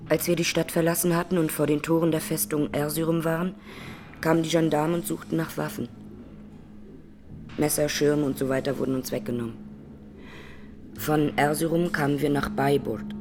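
An actress playing an Armenian woman in her twenties, a massacre survivor giving measured, sober testimony in a radio drama. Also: sits low, steady tone, a little mellow. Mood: grave, sober. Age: 20s.

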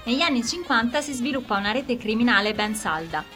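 A woman hosting a radio program, reading scripted historical narration in a measured, formal way. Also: a little high, animated, pushed and bright. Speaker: radio host. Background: music under the voice.

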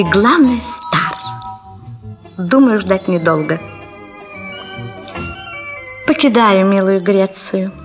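An actress playing a greedy young Greek bride of a rich old chieftain, theatrical and mock-tragic in a comic radio diary monologue. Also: female voice, mid-range voice. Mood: smug anticipation. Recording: 1970s Soviet radio recording with music.

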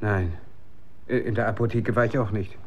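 A man's voice: fairly low pitch, steady tone, normal speed, close to an everyday voice. An actor playing a driven, defensive male researcher in a German radio drama. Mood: bewildered, defensive.

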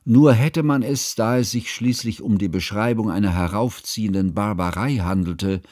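An actor playing an earnest, troubled scholar who narrates a literary radio drama in a measured, formal, precise way. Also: male voice, lowish voice, a little mellow, dry recording, clean studio sound, natural voice.

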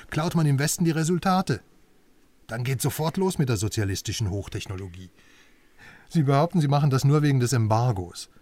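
Actor as brash journalist, slightly off-mic. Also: male voice, medium pitch, no room sound, natural voice. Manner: chatty, sardonic, emphatic. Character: brash, eager West German investigative journalist. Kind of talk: naturalistic radio drama dialogue. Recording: slightly distant voice, faint outdoor noise.

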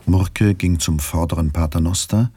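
Very deep male narrator. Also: moderate pace, mellow, breathy edge, no room sound. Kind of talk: scripted literary narration for radio play.

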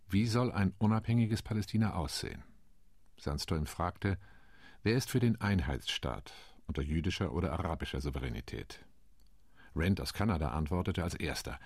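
Male actor as a middle-aged UN delegate for a radio drama: deep, raspy, steady reporting tone. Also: no room sound, full character voice. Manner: measured, reporting. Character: sober male diplomat recounting committee talks. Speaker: UN commission delegate. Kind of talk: radio play monologue.

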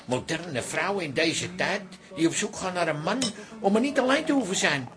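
A man's voice: high voice, lively intonation, normal speed, fairly bright tone, faint music behind. A man playing a grumpy, indignant complainer in a radio comedy drama. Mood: scornful, grumbling.

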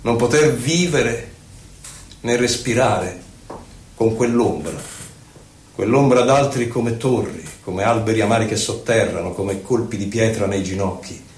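A man gives a solemn, theatrical poetry recital, declaiming in short phrases with pauses between them. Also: on the low side. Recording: echoey, distant, noisy live radio broadcast.

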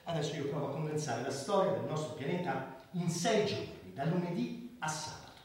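A man acting in a radio drama as an idealistic doctor, orating with emphatic pauses like a public crusader. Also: on the high side, lively intonation, slight background noise, natural voice. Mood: earnest oratory. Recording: very echoey hall, far from the mic.

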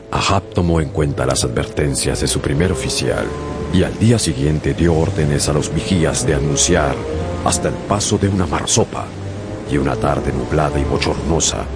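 A man's voice: a bass voice, normal speed. A man narrating a sea adventure in a radio drama, telling it earnestly as his own memory. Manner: earnest storytelling, recalling the past. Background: dramatic music.

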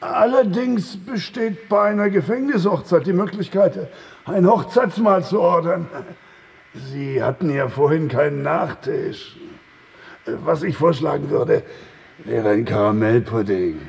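An officious, obliging prison warder in a radio drama. He speaks formally in a fairly high, creaky voice, set in a reverberant hall with clear background noise. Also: animated, a little slow. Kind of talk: German radio play acting. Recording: reverberant hall, noisy ambience.

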